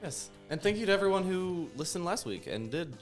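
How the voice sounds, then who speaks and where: A man's voice: high voice, sing-song, fairly quick, faint music behind. A man co-hosting a sports podcast with casual banter. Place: recorded in person in a shared room.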